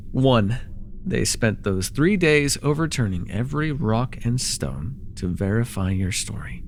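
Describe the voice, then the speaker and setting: Man acting a haughty, theatrical villain with lively swings. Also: medium pitch, slight background noise. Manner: theatrical, mocking, drawling. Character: conquering dark-magic villain ruler, sly, haughty, taunting. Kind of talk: immersive fantasy audio roleplay acting.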